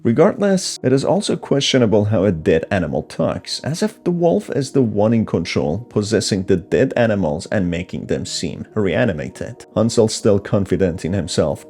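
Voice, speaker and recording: A man's voice: deep voice, dark tone. A man giving scripted narration that explains a horror story.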